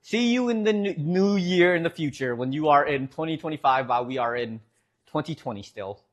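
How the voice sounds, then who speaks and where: Midwestern american man, rambling streamer chat, animated swooping voice, slightly high, laughs a lot. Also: fairly quick. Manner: rambling, laughs often, talks back to chat. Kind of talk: casual chat with live chat. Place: home streaming setup.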